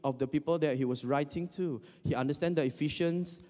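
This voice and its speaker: A man's voice: fairly high pitch, a little fast, dark tone. A young man from Indonesia giving a casual, joking sermon, full of fillers and restarts. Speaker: preacher. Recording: long hall reverb.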